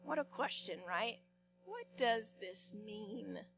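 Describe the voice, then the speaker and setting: A woman pastor delivering a sermon, telling the story expressively with repetitions and dramatic pauses. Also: fairly high pitch, lively intonation, fairly bright tone, slight background noise.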